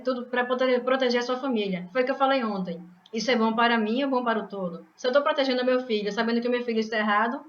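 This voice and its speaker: A woman from Bahia, explaining scripture to a study group over a video call, hesitant and restarting words. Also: fairly high pitch. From Bahia, Brazil. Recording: echoey muffled call audio.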